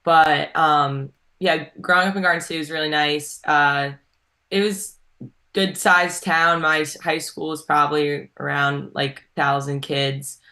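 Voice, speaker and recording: A woman's voice: sits low, steady tone, a little slow, sharp tone. A young woman from Long Island with a subtle Long Island accent, chatting as a college swimmer guest, with plenty of ums and likes. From Long Island, New York. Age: young adult. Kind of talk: casual interview answers.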